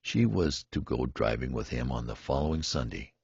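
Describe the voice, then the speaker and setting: A man's voice: rumbling low, lively intonation, normal speed, creaky, a little mellow, slightly rough, no room sound, lo-fi sound. A man reading a literary story aloud as scripted audiobook narration. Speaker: audiobook reader.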